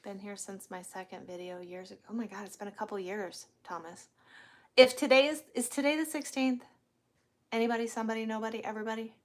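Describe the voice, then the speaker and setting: A woman in her forties hosts a casual livestream, replying to comments as they come in. She talks in a candid, rambling way, like someone thinking out loud with regular viewers. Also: medium pitch, steady tone, quick pace. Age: 40s. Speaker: livestream host, truck driver. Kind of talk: casual live chat answering viewer comments.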